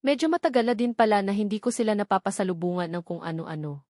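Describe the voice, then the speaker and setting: Female audiobook narrator for first-person fiction, mid-range pitch, dry recording. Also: quick pace, darkish tone, no echo.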